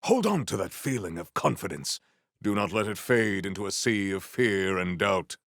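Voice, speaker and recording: An actor playing a proud, battle-hungry warrior in a video game, with formal, stilted and grandiose diction. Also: male voice, low-pitched, lively intonation, normal speed, a bit bright, no echo, studio quality. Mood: earnest. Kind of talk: scripted video game character lines.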